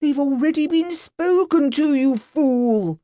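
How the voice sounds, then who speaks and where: A haughty, red-faced rich gentleman , as a woman reader puts him on barks and dismisses with scorn. The voice sits far higher than the narrator's usual tone. Dry, close reading. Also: sing-song, measured pace, no echo. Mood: angry. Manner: shouting, dismissive. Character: haughty, hot-tempered wealthy man.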